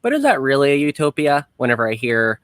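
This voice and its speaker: A man hosts a casual podcast discussion in an average-pitched voice. He is reflective and pokes fun at himself as he talks. The sound is close and dry, with very little room. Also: big pitch swings, fast talker, fairly bright tone, clear, resonant tone, dry recording. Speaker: podcast host.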